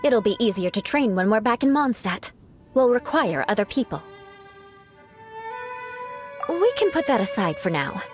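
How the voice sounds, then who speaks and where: A woman's voice: a little high, very animated, normal speed, long pauses, soft background music. An actress playing a haughty, cool young noblewoman knight with a formal, vengeful air in a video game. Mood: composed, brisk. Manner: poised, formal, faintly imperious.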